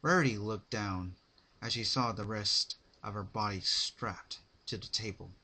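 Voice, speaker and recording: Male narrator, dramatic story reading, very slow, bright forceful tone, deliberate halting phrases. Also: on the low side, breathy edge.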